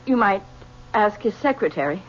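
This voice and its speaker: A guarded middle-aged widow, acted by a woman in an old radio play, speaking at an ordinary pitch. She hesitates and stammers as she answers. The recording is dull, with a faint hiss. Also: lively intonation, moderate pace, close to an everyday voice. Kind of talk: 1970s radio drama acting.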